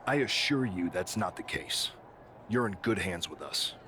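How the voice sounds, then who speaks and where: A man's voice: low voice, lively intonation, normal speed, pushed and bright, a little hiss or hum. A man playing a reassuring wrestling general manager who brushes off worries, in a video game cut-scene. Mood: placating. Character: smooth-talking, placating male wrestling general manager.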